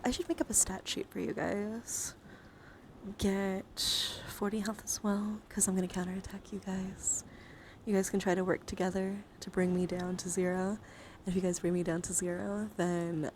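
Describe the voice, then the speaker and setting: A woman livestream host chatting casually, often dropping into soft murmurs and whispers. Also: medium pitch. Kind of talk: casual live-stream chat. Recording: steady background noise.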